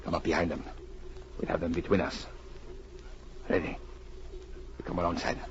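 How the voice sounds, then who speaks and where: An actor playing a cold, methodical gunrunner boss in old radio drama, giving deliberate, menacing orders. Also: male voice, deep voice, flat delivery, lightly acted. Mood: terse command. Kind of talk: 1940s radio villain acting. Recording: muffled, noisy old radio recording.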